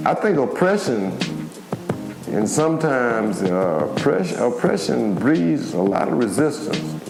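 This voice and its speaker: Older American man, halting, in a roomy echo. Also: fairly low pitch, vocal fry. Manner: halting, repetitive, searching for words. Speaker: former activist giving a firsthand account. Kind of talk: spoken documentary interview, reflective recollection.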